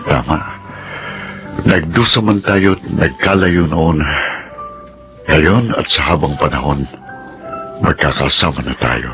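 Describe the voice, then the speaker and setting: Very deep-voiced devoted husband. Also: male voice, slow pace, long pauses, fairly bright tone, exaggerated voice. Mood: tender, earnest. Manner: earnest, romantic. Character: tender, devoted newlywed husband reassuring his wife. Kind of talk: Filipino radio drama acting. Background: music.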